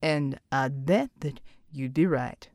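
A woman voicing a wry, weary, fatherly male commander with a folksy, unhurried delivery in an audiobook. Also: very deep voice, darkish tone, dry recording, studio quality, pitched below the performer's own voice.

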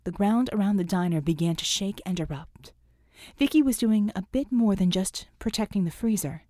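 A woman's voice: medium pitch, moderate pace, dark tone. A woman reading scripted fiction as an audiobook narrator, in a dramatic storytelling style.

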